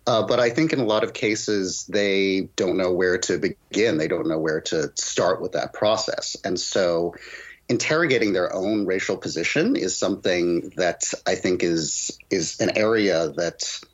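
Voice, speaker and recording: A man's voice: sits low, unhurried. A man speaking as a guest academic in a thoughtful interview discussion, on slightly dull audio. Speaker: guest, professor and poet.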